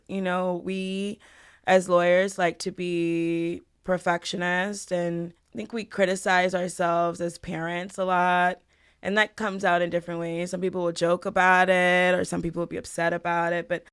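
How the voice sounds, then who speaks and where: A woman's voice: fairly low pitch, a bit bright, smooth. A woman from the Bahamas and Florida answering interview questions as a guest in a reflective, conversational way. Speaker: podcast guest, family law attorney.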